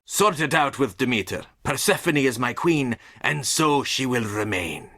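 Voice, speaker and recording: A man's voice: mid-range voice, expressive, a little slow, pushed and bright, heavily acted. An actor playing an imperious, menacing king of the underworld in a game, with commanding, grandiose, theatrical delivery. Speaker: actor performing game voice lines. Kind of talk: theatrical mythic stage drama acting.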